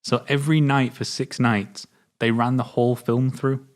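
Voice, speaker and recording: British guy, mid pitch, chill, chatting film trivia with his mate and laughing a lot. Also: moderate pace. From Britain. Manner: relaxed, shares trivia, laughs often. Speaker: co-host of a film review show. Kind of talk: casual film chat.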